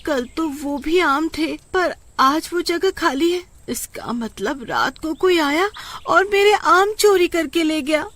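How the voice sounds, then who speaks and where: A woman's voice: sits high, slow pace, fairly bright tone, pitched above the performer's own voice. A woman voicing a lonely, possessive village aunt in a cartoon story, with a dramatic manner. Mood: shocked distress. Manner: dramatic, scolding or doting.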